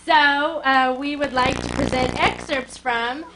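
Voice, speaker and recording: A woman's voice: high voice, fairly even intonation, unhurried, noisy background. A woman performing a theatrical musical comedy skit at a house party, moving between narration and song. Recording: home video with loud music behind. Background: musical instrument playing.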